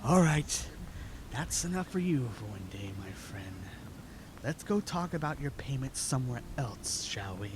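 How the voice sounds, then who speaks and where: A man playing a falsely friendly young knight, coaxing in a hushed voice in a fan audio drama. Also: mid-range voice, very animated, a little slow, noisy background.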